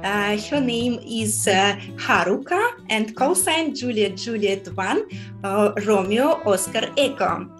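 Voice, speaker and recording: A woman's voice: medium pitch, animated, takes it slowly, soft background music. A woman who is not a native English speaker, giggly and cheerful, chatting casually in a language tutorial as host and learner. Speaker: amateur radio host learning Japanese.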